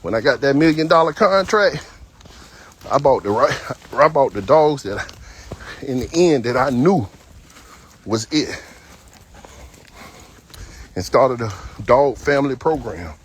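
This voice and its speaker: A man's voice: mid-range voice, expressive, slow pace, pauses often, a little hiss or hum. A man in his fifties, a dog trainer, talking casually and bluntly while walking his dog on an outdoor trail. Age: 50s. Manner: talkative, blunt, folksy storytelling.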